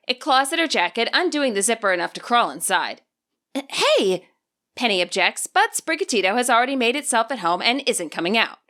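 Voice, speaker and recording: Animated woman narrating a fan story. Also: on the high side. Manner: expressive, animated reading. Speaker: fanfiction author and podfic reader.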